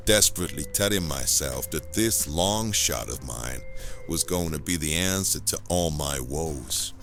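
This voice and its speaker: A man acts out a hardboiled, down-on-his-luck man telling his own story in an audio play. The voice is rock-bottom deep and unhurried, brooding through every line. Faint music sits underneath. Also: fairly bright tone, full character voice. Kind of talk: hardboiled noir narration.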